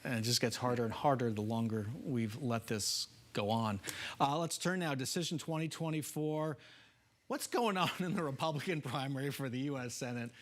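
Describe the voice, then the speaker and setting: A man's voice: mid-range voice, normal speed. An American man hosting a broadcast political panel, putting pointed, probing questions to guests. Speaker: TV political show host.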